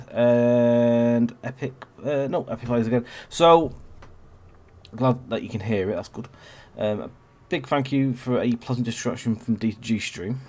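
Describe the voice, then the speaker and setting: A man with a blocked-up, cold-ridden voice hosts a relaxed gameplay stream. He rambles and restarts, filling gaps with ums as he reads the chat. His pitch sits right in the middle for a man. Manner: rambling, chatty, with many fillers and restarts. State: congested with a cold. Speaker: stream host. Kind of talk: casual gameplay livestream chat.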